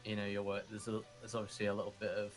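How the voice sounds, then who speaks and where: A man's voice: fairly low pitch, racing. A man from England, chatting casually in interview soundbites with fillers. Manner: casual and relaxed, with fillers like "you know". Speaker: map-building team member. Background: music.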